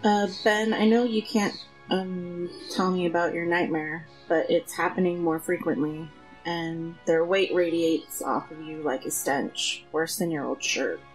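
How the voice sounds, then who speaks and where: Woman acting a low-voiced, concerned female companion. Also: steady tone, a little slow, soft background music, natural voice, poor audio. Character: concerned, gently prodding blind female travel companion. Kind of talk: fantasy audio drama acting.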